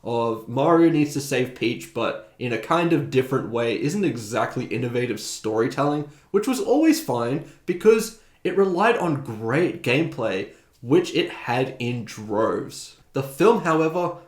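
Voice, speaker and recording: A man's voice: medium pitch, sing-song, a little slow. A man hosting a video essay, giving opinionated, conversational commentary. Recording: roomy, echoing voice recording.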